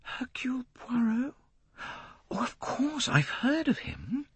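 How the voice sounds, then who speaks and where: Brief: young heiress, voiced by a man, soft and slightly shy, raised pitch, breathy hush. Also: wildly swooping pitch, moderate pace, dry recording, heavily acted. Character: young wealthy woman, shy and proud.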